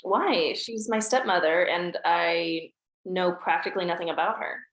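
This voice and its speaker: A woman's voice: lowish voice, moderate pace, a bit bright. A woman reading the part of a wry, teasing young adult daughter. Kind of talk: table read. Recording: roomy echo.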